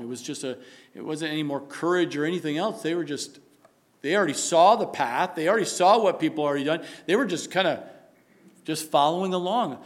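A man preaching a sermon, building to loud emphatic stretches and dropping to a soft prayerful tone. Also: fairly high pitch.

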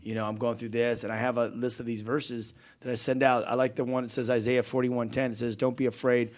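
A man's voice: medium pitch, little pitch movement, fairly quick. Casual, encouraging man on faith radio. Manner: casual, encouraging, reads verses aloud. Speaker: radio show co-host.

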